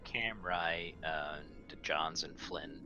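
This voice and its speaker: A man's voice: deep voice, slow, lo-fi sound, over music. A man playing a tabletop game, talking casually in and out of character with a dry, joking manner.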